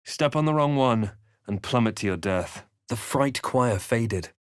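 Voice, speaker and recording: A brooding adventurer from a fantasy role-playing game, acted by a man. He recounts his quest with grave, measured theatricality in a medium-pitched male voice. The sound is close and dry. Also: lively intonation, clean tone, no room sound, close to an everyday voice. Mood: ominous, reflective. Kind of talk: dramatic scripted game voice lines.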